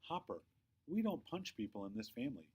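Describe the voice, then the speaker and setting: A man's voice: medium pitch, very animated, a little fast, darkish tone, husky. Male reader as exasperated storybook mom. Firm, admonishing, stern, medium register. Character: stern, exasperated mother scolding her young son.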